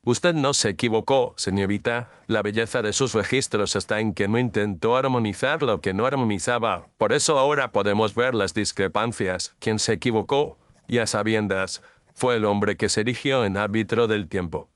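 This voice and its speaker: A man playing a composed, incisive detective in an audio drama, speaking formally and with measured declamation. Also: fairly low pitch, no echo, clean studio sound, natural voice. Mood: composed, accusing.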